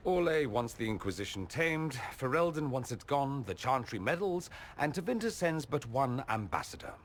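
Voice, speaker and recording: A man's voice: mid-range voice, sing-song, normal speed, a little hiss or hum. A man playing a witty, theatrical mage ambassador in a video game, arch, eloquent and affectionate. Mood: wry, playful. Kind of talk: video game cutscene acting.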